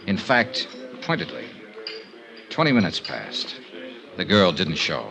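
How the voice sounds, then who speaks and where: An actor playing a tough, wry American investigator in a clipped, hard-boiled old radio drama style. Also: male voice, low voice, wildly swooping pitch, unhurried, vocal fry, full character voice. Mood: brooding narration. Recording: old radio recording with music.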